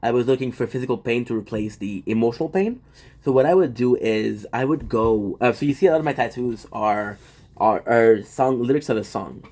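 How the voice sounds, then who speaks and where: A man's voice: mid-range voice, brisk, a little mellow. Man, rambling casual stream chat, answers the chat. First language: French. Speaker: livestream host.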